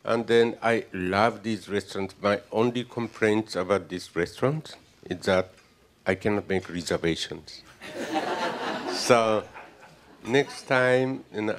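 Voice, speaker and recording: Older man, slow halting gala speech, cutlery clinking faintly. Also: lowish voice, background noise. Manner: halting, many "uh"s and repeats, joking. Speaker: gala speaker, society president. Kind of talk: formal speech at a dinner. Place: banquet hall.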